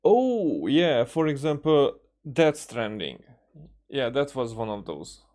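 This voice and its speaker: A man streaming games, chatting casually in a hesitant, halting way as he thinks aloud. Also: medium pitch, very animated, a little mellow. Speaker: game streamer. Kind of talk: casual livestream chat. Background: music.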